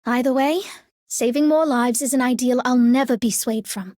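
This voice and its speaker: A woman voicing a gentle, idealistic young female operator in a video game, earnest and reflective. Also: on the high side, moderate pace, dry recording, clean studio sound, natural voice. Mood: wistful resolve. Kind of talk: English video game character voice lines.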